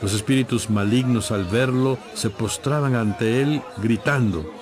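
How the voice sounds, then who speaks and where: A man giving scripted, measured and solemn narration for a dramatized audio Bible. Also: mid-range voice, very noisy.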